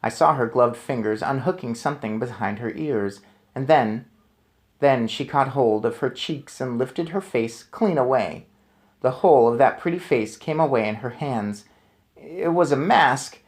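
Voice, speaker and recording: A man's voice: mid-range voice, measured pace. Man dramatically reading a storybook aloud. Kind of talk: expressive children's book narration with casual asides.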